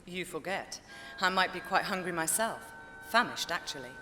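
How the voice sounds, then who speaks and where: A woman's voice: fairly low pitch, expressive, moderate pace. A woman playing a cool, fearless adventurer with a dry, sardonic manner in a video game. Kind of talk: video game cutscene acting. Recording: loud music under the voice.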